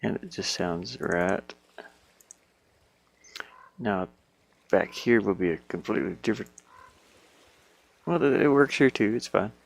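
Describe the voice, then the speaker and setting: A man's voice: on the low side, a little slow, vocal fry, pauses often. A man working as an indie game developer, thinking aloud casually and muttering to himself in short fragments.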